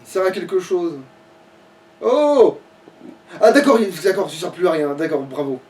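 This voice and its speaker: A man's voice: sits high, normal speed, darkish tone. A man playing a video game and commenting casually, muttering, swearing and thinking aloud. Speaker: player in a let's play. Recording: distant mic, faint background noise.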